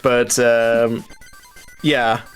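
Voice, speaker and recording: A man's voice: mid-range voice, little pitch movement, very slow, soft background music. A man commentating a live gaming race, explaining things hesitantly with dry, understated humour.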